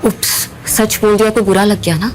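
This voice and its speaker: A woman's voice: medium pitch, fairly even intonation, normal speed, slight background noise, natural voice. A woman playing a feisty, outspoken young woman in an audio drama, sassy and slangy, mixing Hindi and English. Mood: sassy scorn.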